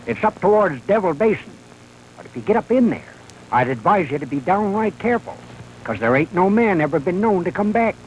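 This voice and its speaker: High-voiced, folksy old frontiersman. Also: very animated, brisk. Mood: folksy, cautioning. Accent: rural American West. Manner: folksy, rambling drawl. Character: folksy, talkative old frontier trail hand. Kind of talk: 1930s B-western film acting. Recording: noisy old 1930s film soundtrack.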